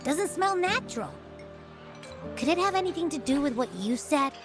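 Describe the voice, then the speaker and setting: A bouncy, high little creature. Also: female voice, big pitch swings. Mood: wary. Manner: bouncy, teasing, quick to tangents. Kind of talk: video game voice acting. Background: game music.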